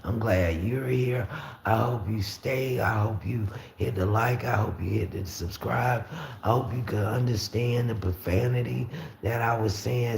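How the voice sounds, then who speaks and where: Man, raspy gravelly voice, lowish pitch, laid-back rambling chat, roomy echo, faint street noise. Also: darkish tone. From Florida. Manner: rambling, easygoing, frequent fillers and restarts. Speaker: livestream host. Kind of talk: casual live chat, reading out viewer comments. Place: room at home with the windows open.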